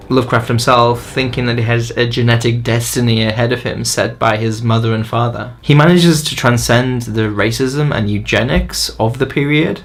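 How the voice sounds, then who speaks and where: A man's voice: medium pitch, creaky. A man narrating a scripted book review in an enthusiastic, opinionated way. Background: faint music.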